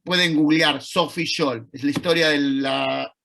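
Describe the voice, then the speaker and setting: A man with an Argentine accent giving an online talk, conversational and thoughtful, pausing to search for words. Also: a little high, steady tone, creaky, bright, forceful tone. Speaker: lecturer. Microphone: video call.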